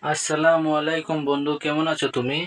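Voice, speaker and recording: A man playing a courteous visiting friend in scripted cartoon dialogue, with a measured delivery. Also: a little high, level tone, soft background music, close to an everyday voice. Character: polite, devout male friend visiting, a father.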